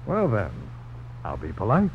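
Low-voiced earnest frontier hero. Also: male voice, huge pitch swings, a little slow, background noise, full character voice. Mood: polite. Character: polite, steady frontier adventurer, a famous knife duelist in love. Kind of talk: 1950s radio drama acting. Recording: dull old radio broadcast recording.

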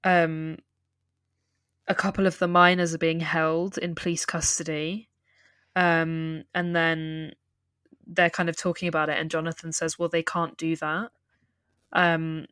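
A woman co-hosting a podcast, chatting casually and retelling film scenes in an animated way with laughs. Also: low voice, measured pace, long pauses, clear, resonant tone, no room sound, studio quality. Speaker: podcast co-host. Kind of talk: casual film discussion chat.

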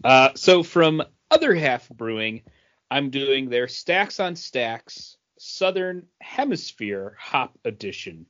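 Male podcast co-host, casual, halting delivery, dull lo-fi sound. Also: medium pitch, big pitch swings, a bit bright. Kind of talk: casual chat. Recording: dull lo-fi audio.

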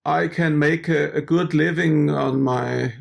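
Middle-aged man from Austria, German native speaker, halting, very gravelly, husky. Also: on the high side, steady tone. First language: Styrian Austrian German. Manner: halting, restarting, laughs often. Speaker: guest musician. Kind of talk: casual interview.

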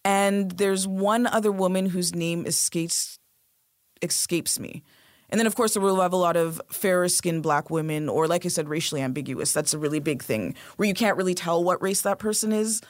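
A woman's voice: lowish voice, moderate pace, dry recording, clean studio sound. A woman interview guest, talking in a direct, emphatic way. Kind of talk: interview conversation.

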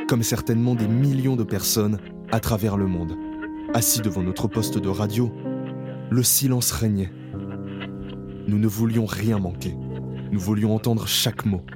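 A man playing a grown survivor who narrates his memories in a slow, reflective, somber way. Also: on the low side, close to an everyday voice. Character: grown male camp survivor recalling his past. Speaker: first-person narrator. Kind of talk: scripted radio drama narration.

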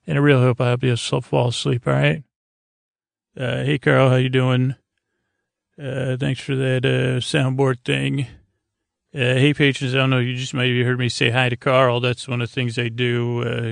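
Male sleep-podcast host, creaky voice, close dry sound, wandering and hesitant delivery full of false starts. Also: medium pitch, no echo. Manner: meandering, tangent-prone, halting and stammering; languid, drawn-out delivery meant to lull. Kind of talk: rambling bedtime podcast monologue.

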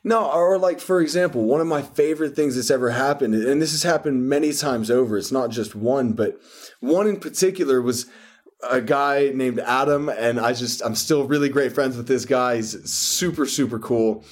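Guy guesting as a fitness coach, mid-pitched, earnest and rambling, restarts a lot. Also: normal speed. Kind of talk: casual interview storytelling.

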